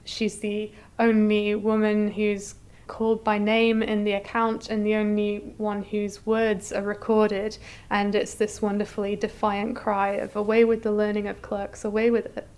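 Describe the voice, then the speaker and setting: Woman curator explaining stuff in an interview, very flat steady pitch, mid-range voice. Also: measured pace, clean tone. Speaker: exhibition curator, interview guest.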